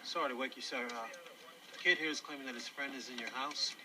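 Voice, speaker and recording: A man's voice: mid-range voice, fast talker, lightly acted. A man playing a polite, deferential police officer on duty in a thriller film. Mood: polite, inquiring. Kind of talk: naturalistic thriller film acting. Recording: distant film clip, loud siren noise.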